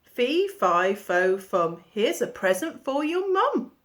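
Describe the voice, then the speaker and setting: A woman reading a storybook aloud, voicing a huge, gentle and polite giant who speaks in slow rhymes. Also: a little high, big pitch swings, heavily acted. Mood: friendly. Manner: slow rhyming chant.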